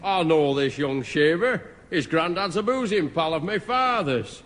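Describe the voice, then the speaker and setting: A Northern English site foreman, blunt and jokey, with a fairly high-pitched voice. It is 1960s radio comedy, recorded with long reverb. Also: animated, measured pace, fairly bright tone. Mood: brisk, blunt. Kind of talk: 1960s radio sitcom acting.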